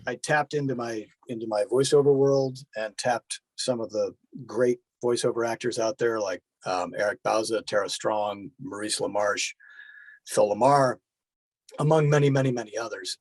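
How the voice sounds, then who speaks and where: A man's voice: medium pitch, dry recording, poor audio. A middle-aged man, a voice actor and director, talking casually and emphatically as an interview guest, with dry humor.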